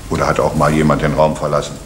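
A terse detective asks short, pointed questions in a very deep voice. There is clear hiss from the old tape behind him. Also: male voice, completely flat delivery, racing. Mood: probing. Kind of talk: 1970s East German radio crime drama. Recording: noisy old radio play recording.

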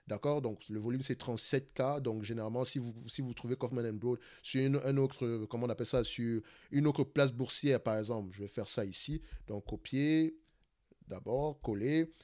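A man with a Cameroonian French accent who hosts an investing channel, talking quickly through a live screen-share stock analysis, often restarting phrases and checking in with "d'accord?". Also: mid-range voice, darkish tone. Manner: fast talker, searches for words, many restarts and "d'accord?".

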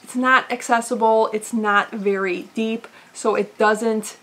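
A woman's voice: on the high side, steady tone, unhurried, fairly bright tone. A woman in her thirties hosting an aquarium vlog, talking casually with frequent "uh" fillers, seated in an indoor room full of tanks. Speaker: aquarium channel host. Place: indoor fishroom with tank racks.

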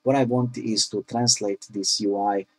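A man whose first language is Croatian, walking through a coding tutorial in a halting, explanatory way with frequent "uh" fillers, recorded at his computer. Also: on the low side, steady tone, darkish tone, dry recording. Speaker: programming tutorial presenter.

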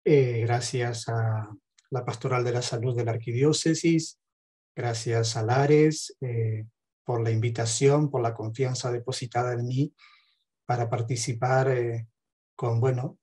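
Male lecturer, middle-aged, Argentine accent. Unhurried and measured, mellow timbre, reflective talk with pauses. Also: mid-range voice, breathy edge. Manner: measured, hesitant pauses between phrases. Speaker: speaker, theologian and counsellor. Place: home study with bookshelves. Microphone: headset on a video call.

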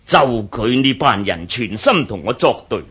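An actor playing an arrogant, vindictive Han dynasty official in a Cantonese radio drama, haughty and scornful, with a Hong Kong accent. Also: male voice, medium pitch, very animated, moderate pace, a bit bright, husky. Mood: resentful. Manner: haughty, scornful snorts and chuckles.

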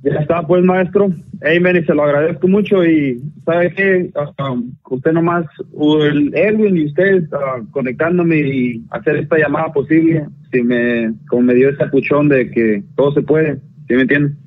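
A man with a Mexican Spanish accent calls in over a thin, tinny phone line. His voice sits a bit high for a man. He talks casually and slangily, often restarting and stumbling through his sentences. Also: normal speed. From North Carolina, raised in Zacatecas. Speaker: caller.

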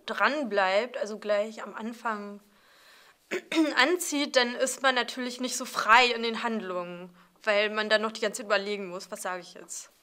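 A young woman with a high, bright voice speaks reflectively and chooses her words with some care. She sounds composed and a little reserved. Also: steady tone, normal speed. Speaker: actor. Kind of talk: interview. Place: indoor theatre space with brick wall.

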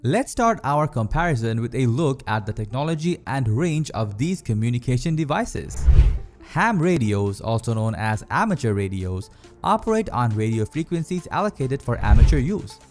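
A man delivers scripted explainer narration in a polished, presentational way. His mid-range voice moves up and down in a lively fashion, with faint music playing behind him. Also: medium pitch, normal speed. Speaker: video narrator. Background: faint background music.

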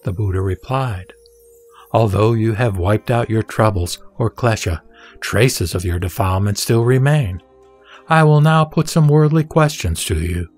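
A man gives a scripted, dramatic reading of scripture in a measured, solemn, instructive manner. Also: lowish voice, a little mellow. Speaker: narrator and reader of scripture. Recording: background music behind the voice.

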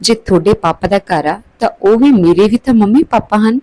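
A woman's voice: medium pitch, animated, fairly quick, warm tone, no room sound, lightly acted, rough recording. A woman voicing a studious, hurt eldest sister who protests plainly in a story. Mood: protesting.

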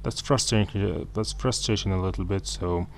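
A man's voice: sits low, creaky, slight background noise. A man whose first language is Arabic, walking through code in a screen-recorded programming tutorial, with frequent fillers and restarts. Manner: halting, many fillers and self-corrections.

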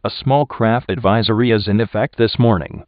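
A man's voice: on the low side, steady tone, normal speed, no echo. Muffled synthetic man reading weather bulletins. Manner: mechanical, impersonal. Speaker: automated weather radio announcer. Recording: muffled lo-fi weather radio recording.

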